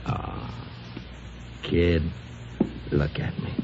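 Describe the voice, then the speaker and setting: Stammering punch-drunk boxer, old noisy recording. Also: male voice, drawn-out words. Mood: hurt, puzzled. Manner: stammering, repeats words, rough ungrammatical street talk. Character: punch-drunk, down-on-his-luck boxer, earnest and wounded. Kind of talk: old-time radio drama acting. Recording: dull, noisy vintage radio recording.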